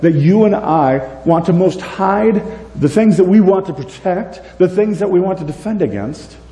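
Man in his 40s, pastor, sermon, animated delivery, warm dark tone, echoey sanctuary. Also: fairly high pitch. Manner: animated, gesturing widely, swings between loud and soft. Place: church sanctuary, indoors. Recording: echoey church room, faint hum.

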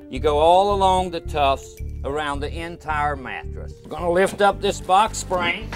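A man's voice: medium pitch, a bit bright. A middle-aged man, an exterminator, explaining emphatically and often checking in with the listener. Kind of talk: interview and on-camera demonstration. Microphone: lapel. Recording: clear music behind the voice.

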